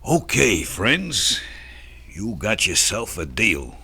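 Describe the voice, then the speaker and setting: A man's voice: lowish voice, sing-song, slow, sharp tone, husky, faint music behind, heavily acted. An American man playing a crude, greedy blackmailer and ex-convict in a radio drama, with slangy tough-guy talk. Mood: cocky, demanding. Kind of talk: old-time radio drama acting.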